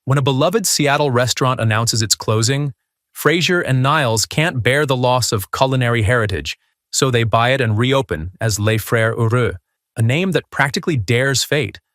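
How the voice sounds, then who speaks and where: A man's voice: mid-range voice, moderate pace, a bit bright, no room sound, studio quality. A man hosting a video countdown, reading a scripted narration.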